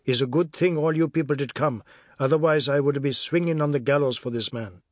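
A man's voice: a little high, fairly quick, no echo, studio quality, natural voice. A man voicing a protective, menacing, hot-tempered uncle with firm delivery, in an audiobook story. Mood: gruff reassurance.

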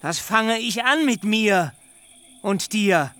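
A wronged, bright-voiced young man. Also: high-pitched, lively intonation, slow pace, smooth, heavily acted. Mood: accusing. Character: young man, bitterly deceived bridegroom, passionate. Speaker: actor in radio play. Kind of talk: stylized literary radio drama.

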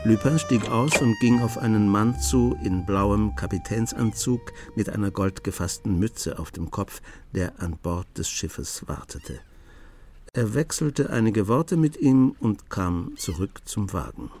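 A man narrating a German radio play as scripted storytelling, in a measured manner. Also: lowish voice, a little mellow. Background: music.

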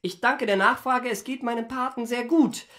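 An actor playing a young hotel employee and charming con man in a German radio play, speaking politely and ornately with smooth self-assurance. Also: extremely high pitch, steady tone, moderate pace, sharp tone. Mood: deferential.

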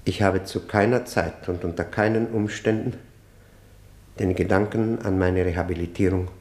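An actor playing a proud, embittered, middle-aged convict of education, speaking formally and haltingly in a vintage radio play. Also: male voice, low-pitched, fairly even intonation, a little mellow, close to an everyday voice. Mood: proud, wary. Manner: halting, deliberate, formal, grave. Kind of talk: mid-century German radio drama acting. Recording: roomy 1960 radio drama studio.

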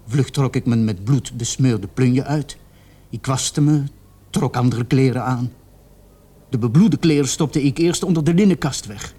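Radio play: young male murder suspect, medium-pitched, remorseful, defensive, halting under questioning. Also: dark tone, husky. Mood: subdued confessing. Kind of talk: radio drama acting.

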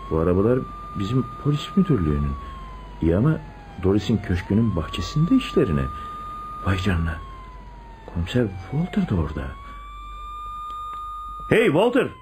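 An actor playing a lovestruck, obsessive police inspector turned killer in a theatrical radio drama. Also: male voice, lowish voice, darkish tone. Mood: alarmed, shocked. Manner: theatrical, swinging from muttering to agitated cries. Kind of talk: Turkish radio theatre acting. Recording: noisy radio drama recording with clicks.

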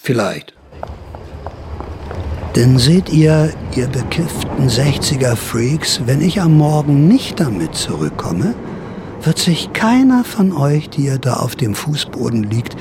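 A man's voice: medium pitch, very animated, unhurried, noisy background. A man playing a sly typewriter thief who narrates his own story in a theatrical, wry radio-play style. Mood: mocking. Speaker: first-person narrator and lead of a radio drama.

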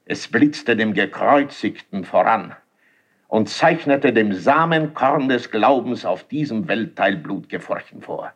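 An actor playing a proud, stern older duke and general in a classical drama, speaking formally and declamatorily in a husky voice. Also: male voice, lowish voice, lively intonation, unhurried. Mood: proud, offended. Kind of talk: classical German stage drama acting.

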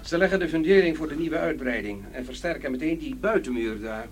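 An actor as a formal, fussy Dutch manager, lively intonation. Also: male voice, on the high side, a little fast, close to an everyday voice. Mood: brisk, explanatory. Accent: Netherlands Dutch. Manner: polite, proper, slightly fussy officialese. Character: formal, precise male vault manager at a precious-metals firm. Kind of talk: radio play acting. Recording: noisy, humming old radio drama recording.

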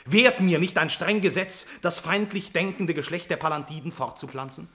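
An actor playing a proud young prince troubled by forbidden love, speaking solemn, impassioned verse in a classical radio tragedy. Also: male voice, fairly high pitch, normal speed, a bit bright, close to an everyday voice. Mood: anguished pride. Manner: declamatory, solemn, impassioned delivery.

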